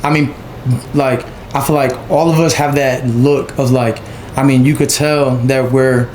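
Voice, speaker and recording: A man's voice: mid-range voice, steady tone, unhurried, darkish tone, a little hiss or hum. A man, a photographer, talking as a podcast guest in a rambling casual way, leaning on fillers and tag questions. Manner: rambling, many fillers and 'you feel me'. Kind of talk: casual chat. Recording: echoey room.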